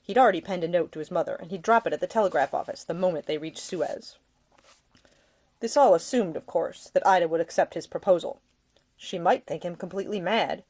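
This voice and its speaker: A woman reading a novel aloud as its author, in expressive scripted narration. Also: fairly low pitch, fairly quick. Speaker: author reading own audiobook.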